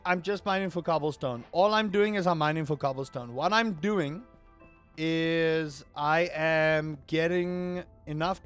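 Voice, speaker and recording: A man from England live-streaming a game, chatting casually with his viewers and breaking into excited exclamations. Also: on the high side. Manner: animated, rising to excited exclamations; chatty, addresses chat directly. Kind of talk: live-streamed gameplay chat.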